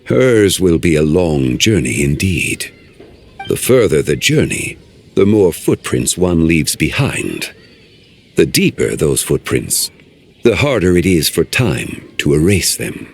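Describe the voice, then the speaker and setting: A man voicing a calm, ancient male sage in a game, who speaks slowly and in riddles. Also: very deep voice, slightly rough, heavily acted. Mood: cryptic. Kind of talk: game voice acting.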